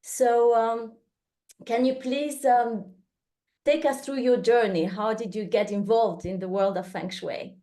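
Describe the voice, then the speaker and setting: A woman hosts an interview and introduces her guest slowly. She stops often and restarts her phrases, and her voice sits a little high. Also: steady tone, warm tone. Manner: halting, many fillers and restarts. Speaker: host, newsletter editor.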